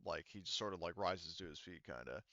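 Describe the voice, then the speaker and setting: A man running a tabletop roleplaying game as game master, explaining things in a halting, rambling way. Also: deep voice, animated, bright, forceful tone, dry recording, highs cut off.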